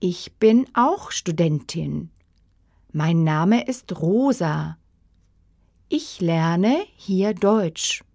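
A woman reading numbers and textbook dialogue lines with careful, deliberate enunciation for a language course. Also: fairly low pitch, expressive, gravelly, a little mellow, dry recording, studio quality. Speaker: course audio speaker.

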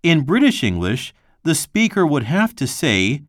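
A man reading a textbook passage aloud for a language lesson, slow and careful, with clear pauses between phrases. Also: fairly high pitch, sing-song, no room sound, clean studio sound. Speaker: textbook reader.

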